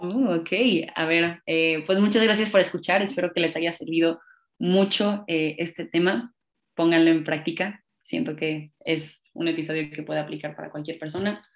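Young woman from Monterrey, Mexico City accent, low-pitched voice, playful upbeat guest chatter. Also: fairly even intonation, moderate pace. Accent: Mexico City Spanish. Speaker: podcast guest. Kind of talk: casual chat.

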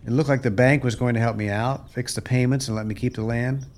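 A man's voice: mid-range voice, steady tone, quick pace, darkish tone, close to an everyday voice. A man playing a weary, plainspoken American farmer who has lost his family land, in a folksy radio play style. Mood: weary, bitter.

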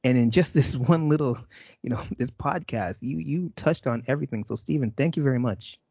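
Canadian lecturer hosting, super enthusiastic, dry muffled audio. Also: male voice, medium pitch, brisk, gravelly, darkish tone, slightly rough, no echo. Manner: enthusiastic, effusive praise. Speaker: university lecturer, host. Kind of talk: podcast interview. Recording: dry, dull lo-fi sound.

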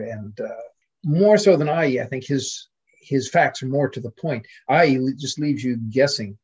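An older man with a husky voice and a medium pitch talks as a scholarly guest. He is measured and reflective, pausing to consider his points. The recording is slightly dull and lo-fi. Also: a little mellow. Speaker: literary scholar, podcast guest. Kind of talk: reflective academic conversation.

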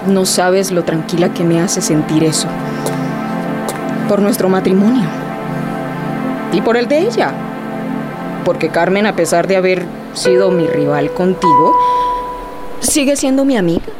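An actress playing a jealous, proud young wife in a radio drama, emphatic and reproachful. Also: female voice, fairly low pitch, lively intonation, a little slow, long pauses, loud music behind the voice. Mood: smug, placating.

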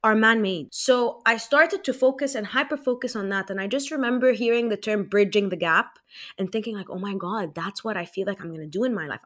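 A woman in her twenties from Egypt and America, chatting casually and reflectively as an interview guest. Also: on the high side. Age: 20s. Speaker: podcast guest.

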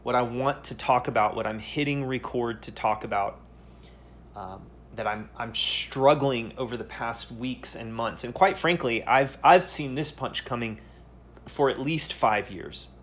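A man hosting a solo podcast, speaking earnestly and haltingly, often pausing to search for words. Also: mid-range voice, fairly bright tone. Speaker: podcast host.

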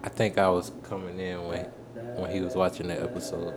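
A man's voice: deep voice, little pitch movement, a little fast, music in the background. A man chatting casually and offhandedly in a group conversation. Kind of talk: casual chat.

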